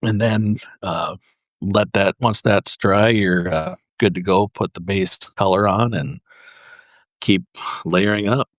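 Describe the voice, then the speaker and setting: A man speaking as a podcast guest, explaining his craft casually in halting, piecemeal phrases with frequent pauses. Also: low voice, no echo. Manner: halting, pausing, piecemeal delivery. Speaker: podcast guest, custom paint artist.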